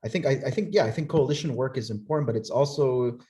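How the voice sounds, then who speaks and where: A man's voice: medium pitch, fast, warm tone. A man from Nicaragua giving an academic talk and answering questions, earnest and conversational, often checking in with "right?". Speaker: guest speaker.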